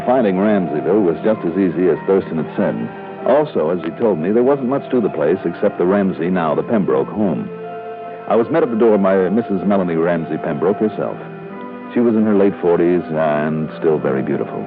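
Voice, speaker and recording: An actor playing a businesslike American insurance investigator and narrator in an old-time radio drama, wry and politely probing. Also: male voice, low-pitched, expressive. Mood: wry, narrating. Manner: brisk, clipped, probing. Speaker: lead and narrator. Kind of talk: 1950s-60s radio detective drama acting. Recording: tinny vintage radio recording, music behind.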